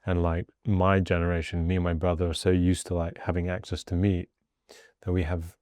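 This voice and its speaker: A man hosting a podcast, his voice very low and dark. He talks in a relaxed, loose way, full of fillers. The sound is dry and close. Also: a little fast, no room sound. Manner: relaxed, many filler likes. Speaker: podcast host. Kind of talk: casual chat.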